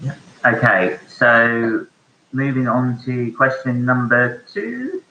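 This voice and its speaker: Slow, bright-voiced quizmaster. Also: male voice, mid-range voice, animated. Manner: slow, repeats each question. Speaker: pub quiz host. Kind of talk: reading out quiz questions. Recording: echoey room, dull lo-fi sound.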